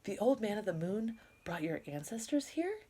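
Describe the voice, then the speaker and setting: A curious young girl from a storybook, played by a woman reader. She sounds eager and questioning, pitched well up from the reader's usual voice. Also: sing-song, normal speed, dark tone, husky. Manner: questioning, lifted intonation.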